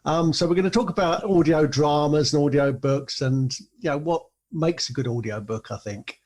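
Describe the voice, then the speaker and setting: A man's voice: a little high, moderate pace, darkish tone. A man, hosting a podcast as presenter and interviewer. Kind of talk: scripted podcast introduction and casual interview chat. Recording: roomy echo with faint hum.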